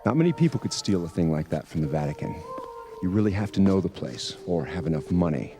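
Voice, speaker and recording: An actor playing a stern older priest on a secret mission, speaking in a grave, measured, guarded way in a video game. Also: male voice, sits low, big pitch swings, music in the background.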